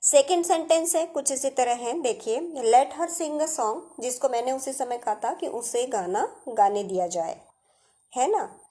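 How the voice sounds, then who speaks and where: A woman's voice: sits high, lively intonation, a little slow, mellow. A woman with an Indian accent giving a step-by-step grammar lesson as a teacher, addressing her students directly.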